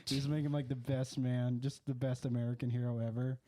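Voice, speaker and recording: A man's voice: mid-range voice, flat delivery, fairly quick, mellow. A man in loose casual podcast chat, talking flippantly and swearing freely. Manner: flippant, profane. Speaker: podcast host.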